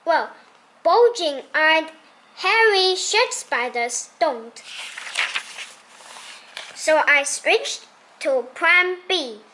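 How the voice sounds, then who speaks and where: A woman reading a children's story aloud expressively, in a childlike storytelling manner with deliberate phrasing. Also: very high voice, expressive, pushed and bright, a little hiss or hum. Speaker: storybook reader.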